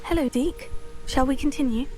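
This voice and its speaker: A curious, courteous young witch at school played by a woman, in a fantasy video game. Her voice sits fairly high, with music playing behind it. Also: moderate pace, warm tone, close to an everyday voice. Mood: curious, polite. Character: polite, curious young female student witch. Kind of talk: video game character acting.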